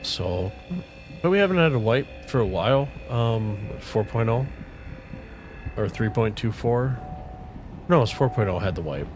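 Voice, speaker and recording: Laid-back low-voiced man streaming a game. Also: a little slow, long pauses. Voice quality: soothing voice. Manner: relaxed, rambling, greets chat viewers. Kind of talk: casual livestream chat while gaming. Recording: faint music playing underneath.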